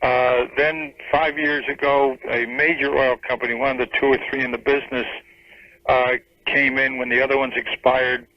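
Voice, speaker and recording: A muffled, lo-fi radio phone call from a mature man. He speaks at a middling pitch and lays out his question haltingly, with hesitations as he explains. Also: little pitch movement. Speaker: radio show caller. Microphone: telephone.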